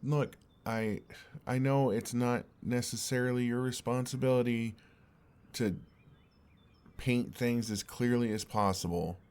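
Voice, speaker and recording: A young man playing an exasperated, earnest and oblivious best friend in an intimate audio roleplay, speaking in a halting, conversational way. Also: medium pitch, fairly even intonation, darkish tone, clean tone, natural voice. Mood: earnest, pleading.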